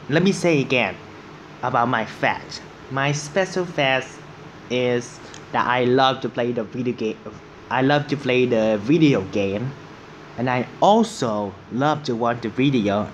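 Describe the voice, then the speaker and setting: A seventeen-year-old man from Vietnam, reacting casually in halting English and repeating phrases. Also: mid-range voice, slight background noise. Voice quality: non-native, halting English. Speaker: reaction video host.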